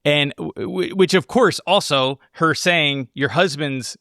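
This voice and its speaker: A man's voice: on the high side, slow pace, gravelly, pushed and bright, no room sound, clean studio sound. A man co-hosting a podcast, analyzing film in an engaged, informal, conversational way. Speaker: podcast co-host. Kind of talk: casual film discussion.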